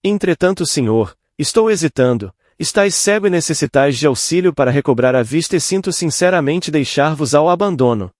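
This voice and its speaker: A man's voice: on the high side, animated, a little fast, hardly any pauses, darkish tone, dry recording, studio quality, lightly acted. A man playing a loyal, hesitant servant who speaks formally and earnestly in a dramatized religious audiobook. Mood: hesitant, concerned. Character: loyal, hesitant, pitying manservant.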